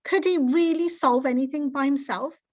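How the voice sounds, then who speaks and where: A woman reading a children's book aloud in an expressive storytelling style, on a slightly dull recording. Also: high voice, normal speed.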